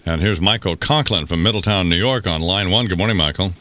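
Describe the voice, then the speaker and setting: A man's voice: low-pitched, brisk, sharp tone, no echo. A man hosting a morning radio show with casual talk and a dry, wry delivery. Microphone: close radio studio microphone.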